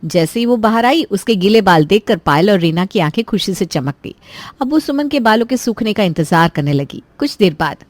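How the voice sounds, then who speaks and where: A woman's voice: medium pitch, animated, normal speed, dry recording. A woman narrator telling a scripted Hindi cartoon moral story in a chatty, folksy style.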